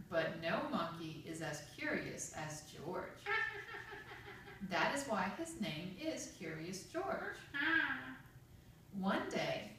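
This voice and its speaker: A woman telling a children's story expressively, drawing out words and prompting young listeners with questions, recorded in an echoey, noisy room from a distance. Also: mid-range voice. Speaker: storyteller at a children's puppet show.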